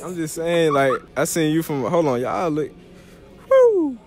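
A man's voice: a little high, huge pitch swings, unhurried. A young man hosting a prank street interview, teasing and laid-back with slang. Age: young adult. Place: indoor mall and outdoor college campus. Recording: music playing behind.

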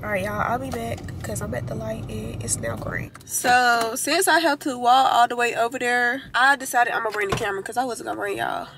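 A woman's voice: a little high, brisk, few pauses, background noise. Chatty young woman vlogging from her car. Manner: chatty, slangy, addresses viewers as y'all. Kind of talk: casual vlog chat to camera. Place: parked car and indoors at home. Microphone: handheld camera at arm's length.

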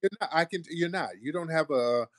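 American guy running a call-in roast, cuts people off, keeps repeating himself, kinda high voice. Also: fairly quick, no echo. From United States. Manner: blunt, interrupting, repeats questions. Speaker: radio show host.

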